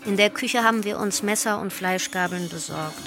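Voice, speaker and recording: A woman's voice: mid-range voice, moderate pace, close to an everyday voice. An actress playing an unremorseful female cult killer in a radio play, recounting murders in a matter-of-fact way. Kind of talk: first-person radio play monologue. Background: clear music bed.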